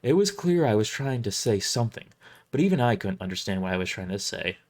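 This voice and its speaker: Animated dramatic audio drama narrator. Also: medium pitch, brisk. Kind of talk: first-person dramatic scripted narration, live reading.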